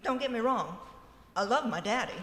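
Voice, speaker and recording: A woman's voice: on the high side, expressive, moderate pace, a bit bright. A woman playing a dreamy, earnest young farm daughter in a comic radio play, gushing and melodramatic. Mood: earnest, yearning. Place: live on stage in a ballroom. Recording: echoey live hall, faint background noise.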